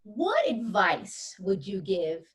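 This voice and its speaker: A woman's voice: mid-range voice, very animated, slow. A woman interviewing a group, asking encouraging questions. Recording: distant from the mic.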